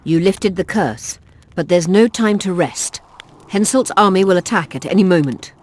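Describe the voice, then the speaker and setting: An actress playing a determined female rebel commander in a video game, measured, serious and commanding. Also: on the low side, lively intonation, slight background noise, lightly acted. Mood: grave, resolute.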